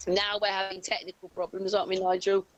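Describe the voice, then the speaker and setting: A woman's voice: medium pitch, monotone, fast, muffled recording. A woman hosting a casual discussion on an online call. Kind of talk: casual chat. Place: indoors on an online video call.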